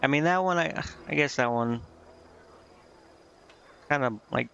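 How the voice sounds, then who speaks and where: A man's voice: mid-range voice, unhurried, vocal fry, fairly bright tone. Casual man streaming, dull lo-fi mic. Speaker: game streamer. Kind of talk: casual let's-play commentary, reading dialogue aloud. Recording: dull lo-fi mic, music behind. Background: game music.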